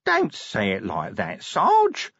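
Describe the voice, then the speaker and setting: A man's voice: sits high, wildly swooping pitch, a little slow, a bit bright, husky, no echo, lo-fi sound, pitched above the performer's own voice, full character voice. A man voicing a guileless, cheeky police underling in an audiobook, deferential and defensive in colloquial speech. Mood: hurt, defensive. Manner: deferential, innocent-sounding, colloquial. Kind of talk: audiobook character dialogue.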